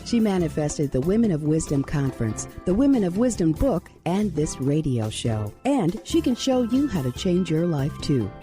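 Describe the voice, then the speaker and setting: Animated woman announcer over music. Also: sits low, normal speed, few pauses. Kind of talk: scripted radio ad narration. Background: music bed.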